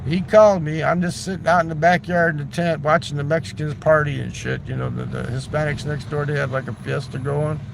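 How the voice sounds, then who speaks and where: A man's voice: mid-range voice, background noise. A man telling a long casual story, loose and chatty, swearing freely and tossing in "right?" and "man". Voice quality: gravelly. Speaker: livestreamer.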